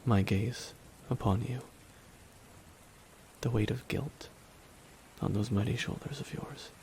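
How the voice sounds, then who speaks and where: A man playing a grieving, resigned supervillain in a dramatic ASMR roleplay, speaking a slow, deliberate, solemn monologue with long pauses. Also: medium pitch, warm tone, a little hiss or hum, rough recording. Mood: bitter, brooding. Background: rain falling.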